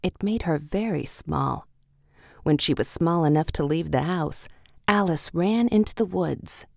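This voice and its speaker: A woman's voice: low-pitched, measured pace, vocal fry, a little mellow, no room sound, studio quality. A woman narrating a children's read-along storybook in a scripted, story-reading style.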